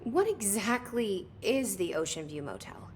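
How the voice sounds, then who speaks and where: A woman's voice: medium pitch, sing-song, measured pace, a little hiss or hum. A woman playing a guarded, determined young American director in a video game, speaking directly and tersely. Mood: curious, guarded. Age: young adult. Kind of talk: video game dialogue acting.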